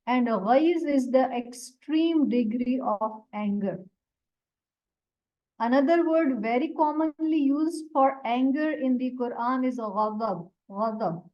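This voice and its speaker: A woman whose first language is Urdu, teaching a Quran lesson and explaining Arabic words one by one in a deliberate way. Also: sits high. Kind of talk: slow explanatory religious lesson. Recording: dull lo-fi recording.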